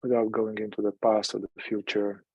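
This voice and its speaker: A man leading a guided meditation in a calm, unhurried manner, leaving long pauses between phrases. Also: on the low side, no room sound, lo-fi sound.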